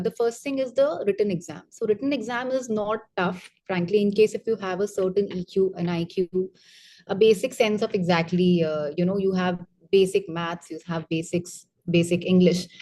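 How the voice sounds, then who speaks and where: A middle-aged woman with an Indian accent, a retired officer talking candidly about her career and giving advice in a relaxed interview. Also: mid-range voice. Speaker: retired coast guard officer, interview guest. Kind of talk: conversational interview, mixing English and Hindi.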